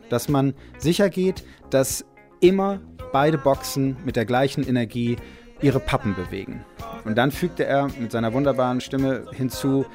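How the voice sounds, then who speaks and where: A man's voice: medium pitch, a little mellow. A man recounting and explaining in a conversational way, as an interviewee. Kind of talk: spoken anecdote and explanation about music mixing.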